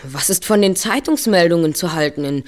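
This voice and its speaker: A woman's voice: lowish voice, lively intonation, a little fast, close to an everyday voice. A woman playing a precocious, self-assured boy detective in an audio drama, articulate and formally polite. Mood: polite, probing. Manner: articulate, polite, somewhat pompous wording. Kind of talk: audio drama dialogue acting.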